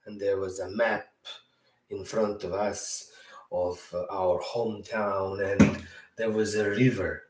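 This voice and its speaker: A man's voice: lowish voice, flat delivery, slow pace, darkish tone, reverberant, recorded from a distance, rough recording. A man from Bosnia whose first language is Serbo-Croatian, telling a story at home in a rambling, casual way, correcting himself and chuckling as he goes. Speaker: podcast host. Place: room at home.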